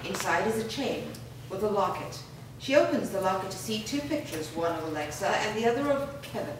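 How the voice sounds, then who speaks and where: A woman's voice: mid-range voice, expressive, brisk. A woman reading scripted stage directions as the narrator of a staged reading. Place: live reading in a reverberant room. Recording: echoey noisy room, distant microphone.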